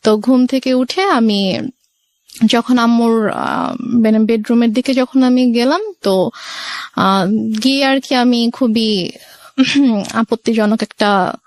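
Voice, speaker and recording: A woman's voice: fairly high pitch, takes it slowly, long pauses, no room sound. A woman in her twenties with a Bangladeshi accent, telling her life story in a radio interview and mixing in occasional English words. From Sirajganj, Bangladesh. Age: 20s.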